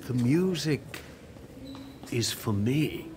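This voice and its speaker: A man's voice: mid-range voice, lively intonation, noisy background. An actor playing a dying elderly male TV writer in a radio play, bitter and guarded, speaking through pain. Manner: bitter, guarded, slow and deliberate.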